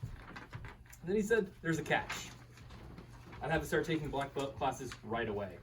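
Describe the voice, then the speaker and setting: A man's voice: a little high, unhurried. A man playing an earnest, bemused karate student telling his partner a story in a live radio play reading. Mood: amused disbelief. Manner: animated, chuckling, quotes his teacher's dialogue. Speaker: reader in a staged radio play. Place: live reading at a bookshop event. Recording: distant microphone with faint room noise.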